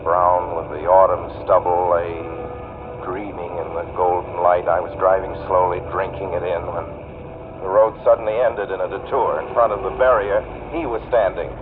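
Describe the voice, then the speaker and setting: Actor as haunted motorist on scratchy old radio. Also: male voice, low voice, fairly even intonation, natural voice. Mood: brooding dread. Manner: deliberate, dramatic, with tense hesitations. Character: haunted male motorist, increasingly anxious, narrating his journey. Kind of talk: 1940s radio suspense drama narration. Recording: scratchy, tinny 1940s radio recording, noisy.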